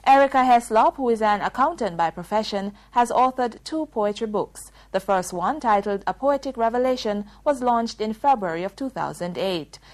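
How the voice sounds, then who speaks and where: A woman with a Jamaican accent reading scripted news bulletins in a crisp, formal delivery. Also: a little high, expressive, normal speed, few pauses. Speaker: news presenter.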